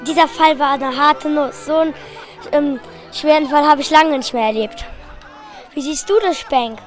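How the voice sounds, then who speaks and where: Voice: sits high, steady tone, moderate pace, soft background music, some chatter behind, natural voice. A child of about eight or nine playing a bold, commanding secret agent in an amateur school radio play. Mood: satisfied. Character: bold secret agent with a dog sidekick, leading rescues.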